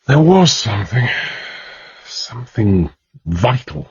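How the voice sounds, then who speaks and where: An actor playing a troubled, weary gentleman adventurer in a radio drama, in a brooding, understated way. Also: mid-range voice, big pitch swings, slow pace, breathy edge, lo-fi sound, full character voice. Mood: frustrated.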